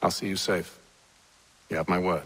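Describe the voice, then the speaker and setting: A man's voice: low voice, flat delivery, moderate pace, slightly rough. An actor playing a composed male commander who gives clipped, formal orders in a video game cutscene. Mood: measured. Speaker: leader of a small band of men. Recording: game audio with loud music.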